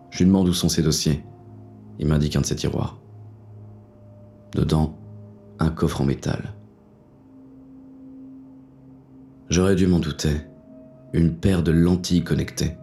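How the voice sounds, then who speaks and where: A very deep, dark-toned male actor's police inspector narrates noir fiction. He is weary and menacing, telling it tersely and deliberately, with faint music underneath. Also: creaky. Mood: controlled, menacing. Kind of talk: scripted first-person noir audio fiction narration.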